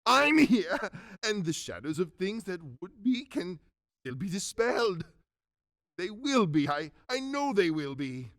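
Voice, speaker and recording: A man acts out an old miser overcome with giddy joy in a radio play. The voice sits fairly high and leaps about in animated swoops, with stammered, repeated exclamations. The sound is dry and close. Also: measured pace, no room sound, exaggerated voice. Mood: giddy, overjoyed. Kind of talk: theatrical radio drama acting.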